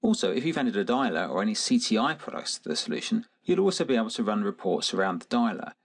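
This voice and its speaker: A man narrating a scripted software tutorial in a methodical, instructional manner. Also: fairly low pitch, big pitch swings, fast, husky. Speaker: software demonstrator.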